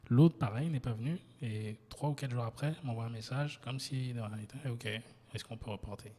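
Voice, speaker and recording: Male podcast host, husky, animated, recorded with long hall reverb. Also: medium pitch, moderate pace. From Ivory Coast. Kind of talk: casual interview.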